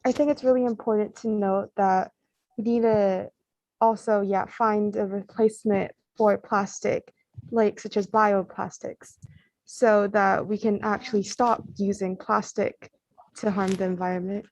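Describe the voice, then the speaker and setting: Young South Korean student delegate, calm and measured, on a video call. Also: female voice, medium pitch, slight background noise, poor audio. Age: young adult.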